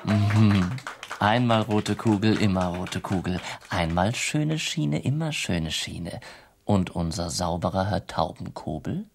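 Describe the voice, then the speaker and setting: An actor playing a wry, pompous commentator in a radio drama, speaking in florid, mock-formal, ironic phrases. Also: male voice, deep voice, takes it slowly, vocal fry, background noise, heavily acted. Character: wry, pompous male commentator of worlds, addressing listeners.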